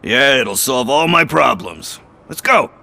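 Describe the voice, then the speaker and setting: A man's voice: mid-range voice, animated, unhurried, creaky, sharp tone, heavily acted. An actor playing a streetwise male informer, sardonic and chatty, talking in casual slang with a wry edge. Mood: cajoling. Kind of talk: video game dialogue acting.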